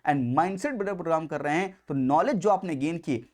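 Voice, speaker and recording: A man's voice: a little high, animated, a little fast. A man with an Indian accent teaching as an exam coach, lecturing emphatically and repeating key points in mixed Hindi and English.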